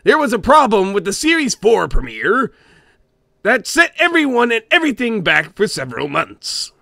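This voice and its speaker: A man voicing a gruff, greedy old cartoon sea-crab boss, gravelly and theatrical, narrating a comic scary story in character. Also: sits high, huge pitch swings, normal speed, pushed and bright, no echo, studio quality, full character voice. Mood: wry, grumbling. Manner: theatrical, with grumbling asides and barking chuckles.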